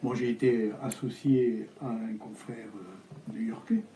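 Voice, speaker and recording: Older male interviewee, Spanish native, hesitant delivery, very dark timbre, roomy echo. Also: medium pitch, sing-song, a little hiss or hum. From Algeria. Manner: hesitant, halting with many fillers and restarts. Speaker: architect guest.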